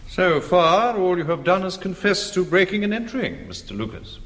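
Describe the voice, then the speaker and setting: An actor playing a formal, courteous and measured British gentleman in a radio drama, smooth and sinister. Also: high-pitched, big pitch swings, full character voice. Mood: coolly accusing.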